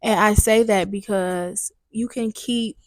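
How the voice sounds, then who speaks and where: A woman's voice: medium pitch, steady tone, slow pace, a little mellow. A young adult woman hosting a solo podcast, talking candidly and chattily, a bit stuffy, repeating herself for emphasis. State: slightly stuffy. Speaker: podcast host. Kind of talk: solo casual podcast talk. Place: booked studio room.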